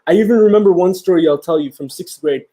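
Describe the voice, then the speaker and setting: High-voiced, joking young man. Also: quick pace, mellow. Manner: playful joker, laughs while talking. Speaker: interview guest. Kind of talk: casual storytelling chat.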